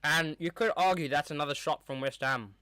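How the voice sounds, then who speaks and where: Young male streamer calling a match live; loose and chatty, crisp clear voice. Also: on the high side, fairly quick, pushed and bright, no room sound. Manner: rambling, chatty, reacts to play as it happens. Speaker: streamer hosting a match watchalong. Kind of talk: casual live match commentary. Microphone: streaming mic with a cover on top.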